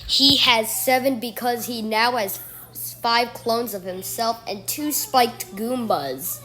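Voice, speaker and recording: Slow, higher-pitched woman giving emergency warnings. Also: sharp tone, soft background music. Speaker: announcer of a homemade emergency alert scenario. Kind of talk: mock emergency alert announcement, repeated warnings.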